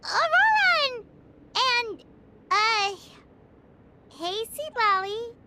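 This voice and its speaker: A woman voicing a small, childlike fairy companion in a video game, squeaky, chatty and excitable. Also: very slow, heavy background noise, exaggerated voice. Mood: excited. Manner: exclamatory, questioning, expressive. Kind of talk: video game character dialogue. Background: game background music.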